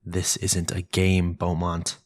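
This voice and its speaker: A man playing a sinister, possessive vampire lord in an audio roleplay, commanding and formal, with a breathy, gravelly voice. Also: sits low, monotone, dry recording, studio quality. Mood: angry. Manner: commanding, formal, drawn-out menacing delivery. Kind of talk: boyfriend ASMR audio roleplay.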